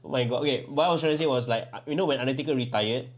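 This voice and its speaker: A man's voice: mid-range voice, rapid-fire. A young Singaporean man co-hosting a wrestling podcast, chatty and agreeable, with Singlish particles in his casual talk. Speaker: podcast co-host.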